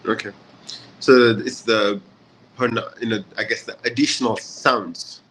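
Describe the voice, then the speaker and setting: A man hosting a casual online lesson, relaxed and chatty, with many fillers. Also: on the low side, unhurried, slight background noise, poor audio. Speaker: session host. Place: hotel in a city abroad.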